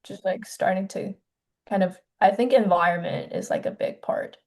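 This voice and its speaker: Soft-spoken young woman from China. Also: medium pitch. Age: 20s. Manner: soft-spoken, hesitant, many pauses and restarts. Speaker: filmmaker guest. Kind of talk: casual interview.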